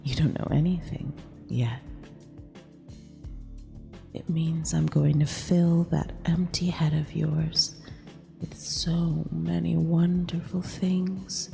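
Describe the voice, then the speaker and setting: A woman playing a mean, possessive eldritch empress in a half-whispered ASMR roleplay, condescending yet affectionate, with a breathy, creaky delivery. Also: sits low, mellow, exaggerated voice. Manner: slow, deliberate, condescending purr. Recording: long reverb with music underneath.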